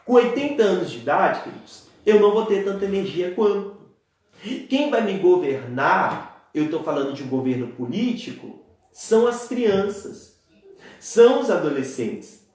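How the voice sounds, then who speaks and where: A young man in his twenties with a Brazilian Portuguese accent gives an earnest church talk and keeps turning to his listeners as dear ones. His voice sits a little high for a man. The room around him is very echoey, with his words ringing back. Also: sing-song, moderate pace, darkish tone. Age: 20s. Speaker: preacher. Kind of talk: church teaching talk on a live stream.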